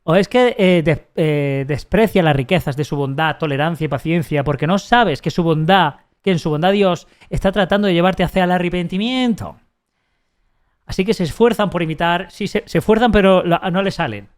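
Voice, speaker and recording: A man from Spain with a slightly high-pitched voice, reading aloud on a live stream. He keeps stopping to mock the text with sarcastic comments, often stumbling and restarting his sentences. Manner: sarcastic, mocking asides; halting, frequent restarts while reading.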